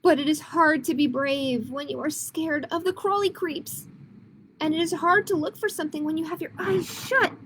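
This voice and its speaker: A woman's voice: sits high, moderate pace, a bit bright, slight background noise, pitched above the performer's own voice. A woman voicing a small, nervous young storybook dinosaur who tries to be brave, in an earnest, dramatic way.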